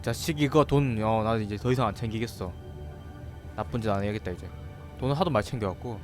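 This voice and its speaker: Very fast-talking male game commentator. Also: lowish voice. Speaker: gaming streamer. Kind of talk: casual Korean game playthrough commentary. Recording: game music behind the voice.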